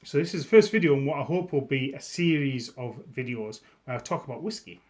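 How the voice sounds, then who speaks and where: A man's voice: mid-range voice, expressive, a little fast. A middle-aged Scottish man with a Scottish accent, chatting casually to camera about whisky as a vlogger, easygoing, with frequent restarts. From Scotland.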